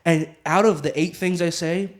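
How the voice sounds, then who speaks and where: Casting: man with a fairly high voice, wry and playful, casual podcast guest. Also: fairly even intonation, normal speed. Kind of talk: casual podcast conversation, practical advice.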